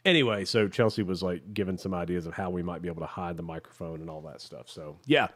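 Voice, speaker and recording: A man co-hosting a podcast in casual chat, rambling and halting as he searches for words. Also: low-pitched, steady tone, a bit bright. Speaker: podcast co-host.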